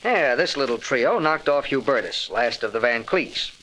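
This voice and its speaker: Actor as a bright, wisecracking young newspaperman. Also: mid-range voice, expressive, moderate pace, close to an everyday voice. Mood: wry, defiant. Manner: slangy, wry. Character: wisecracking, cocky young newspaper photographer hero. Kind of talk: 1940s radio acting. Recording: hissy old 1940s radio recording.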